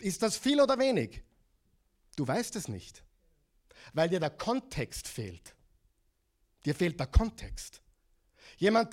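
High-voiced Austrian man preaching. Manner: lively preaching, asks the congregation to repeat words. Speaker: pastor. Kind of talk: sermon.

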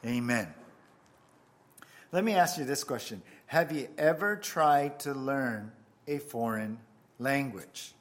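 A man's voice: medium pitch, slow pace, long pauses. A man whose first language was Japanese, a pastor opening with prayer and then giving a conversational, self-deprecating sermon full of restarts.

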